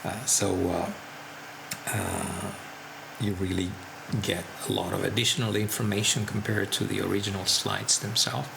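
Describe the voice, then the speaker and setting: A man from Italy, an instructor speaking slowly and carefully, with frequent pauses and fillers. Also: on the low side, little pitch movement, gravelly, background noise. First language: Italian. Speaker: seminar instructor. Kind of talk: promotional talk to camera.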